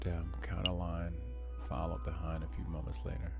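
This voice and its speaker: A man's voice: sits low, monotone, moderate pace, creaky, dull audio, over music. A man streaming a game, casually thinking aloud in a muttering, musing way.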